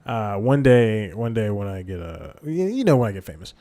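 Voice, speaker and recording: A man's voice: on the low side, big pitch swings, moderate pace, warm tone. A young man hosting a casual solo podcast, rambling with many fillers and self-corrections into a desk microphone. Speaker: podcast host.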